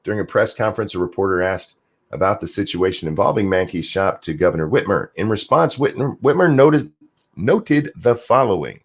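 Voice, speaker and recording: A man hosting a podcast, chatting casually and reading news articles aloud with a jokey, animated delivery. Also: lowish voice, a little fast, husky. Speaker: podcast host.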